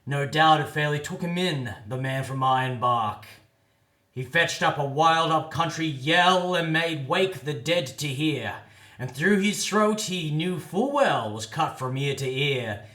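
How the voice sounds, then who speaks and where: A man giving a theatrical, expressive dramatic recitation of a comic ballad as a poetry reader. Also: fairly high pitch, measured pace, echoey room.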